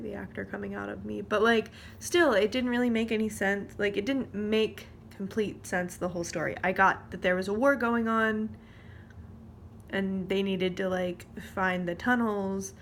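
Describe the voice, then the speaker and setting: Slow-paced young woman vlogging casually. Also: medium pitch, gravelly. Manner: hesitant, informal, trailing off mid-thought. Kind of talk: casual vlog commentary. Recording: noticeable background noise.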